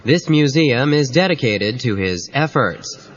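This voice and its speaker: A man's voice: medium pitch, lively intonation, unhurried. A man playing a chipper, formal tour guide in an audio drama, with a rehearsed presentational delivery. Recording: dull cassette sound.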